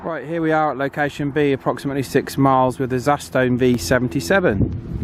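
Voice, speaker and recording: A man's voice: medium pitch, steady tone, a little fast. A British man hosting a radio gear review in a casual, conversational way. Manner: informal, conversational. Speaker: radio review video host. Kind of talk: casual hands-on product review. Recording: very noisy, vehicle and radio noise.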